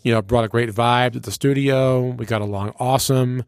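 A man's voice: mid-range voice, fairly even intonation, moderate pace, smooth. A man speaking as a radio show host in casual conversation, effusive and emphatic, often adding "you know". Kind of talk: casual, conversational interview talk.

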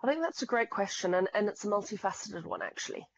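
A Zimbabwean woman speaking as a podcast guest, laying out figures earnestly and often restarting her sentences. Her voice sits at a middle pitch for a woman. The recording sounds slightly dull and muffled. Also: animated, fast talker, gravelly. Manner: earnest, fact-heavy, frequent restarts. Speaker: podcast guest, fraud specialist. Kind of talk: explanatory interview talk.

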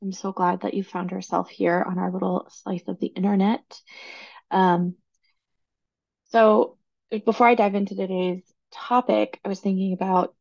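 A chatty woman in her thirties hosts a casual podcast, talking with easy enthusiasm. Her voice has a gravelly fry as it recovers from laryngitis, and the recording sounds a bit dull and lo-fi. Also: mid-range voice, little pitch movement, moderate pace, darkish tone. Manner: chatty, enthusiastic about organizing. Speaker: podcast host. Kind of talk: casual solo podcast talk.

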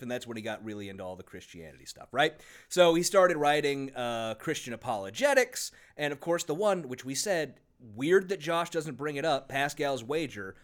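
A man's voice: medium pitch, fairly bright tone. A man co-hosting a casual podcast about a book, sarcastic and swearing freely, laughing often. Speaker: podcast co-host.

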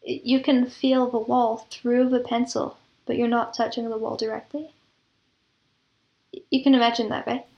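A woman's voice: fairly high pitch, flat delivery, moderate pace, gravelly, dull audio. A woman being interviewed, explaining things reflectively with examples and analogies. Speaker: interview guest, composer and audio drama producer.

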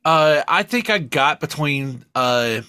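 A man co-hosting a podcast in casual banter, swearing freely and rambling in an animated way. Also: on the high side, takes it slowly, clean tone, no room sound, clean studio sound. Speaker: podcast co-host.

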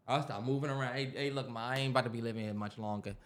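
A man's voice: mid-range voice, steady tone, very fast. An American man co-hosting a podcast, chatting casually with slangy, profane, emphatic phrasing. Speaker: podcast co-host. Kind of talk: casual chat.

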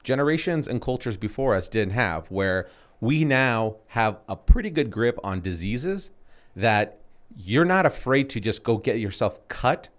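A man's voice: medium pitch, expressive, a little slow. A man in his thirties co-hosting a casual chat, rambling with many restarts and fillers. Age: 30s. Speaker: podcast co-host.

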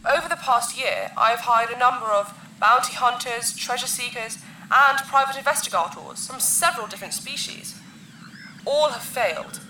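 A woman playing a commanding, solemn insectoid alien queen in a sci-fi audio drama, with formal, imperious and measured phrasing. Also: fairly high pitch, bright, forceful tone, echoey room, far from the mic, slight background noise, low-quality recording.

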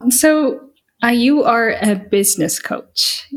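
A woman's voice: fairly high pitch, animated, slow. A woman hosting a podcast, welcoming her guest and listeners in a gushing, affirming way. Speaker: podcast host.

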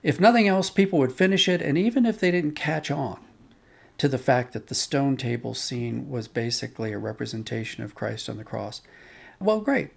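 A man's voice: mid-range voice, lively intonation, normal speed, gravelly, breathy edge. A man talking thoughtfully as an interview guest, explaining with emphasis on key words. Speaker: interview guest, audio drama producer.

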